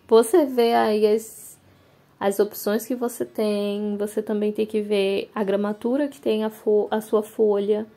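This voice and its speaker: A woman's voice: mid-range voice, a little mellow. A young woman, narrating a journaling video in a chatty, confiding way. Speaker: bullet journal vlogger. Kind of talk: voice-over narration of a craft video.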